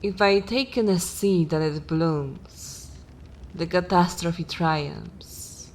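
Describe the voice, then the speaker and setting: A woman voicing a haughty, cruel, centuries-old vampire mistress in a dark fantasy ASMR roleplay, speaking in a formal, commanding and disdainful manner. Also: fairly low pitch. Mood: stern, lofty. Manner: imperious, slow, disdainful, formal wording.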